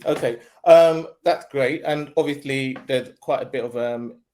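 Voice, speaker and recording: A man hosts an online webinar and introduces and interviews his guest. He talks in a loose, conversational way with ums and restarts, in a voice of middle pitch. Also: unhurried, a little mellow.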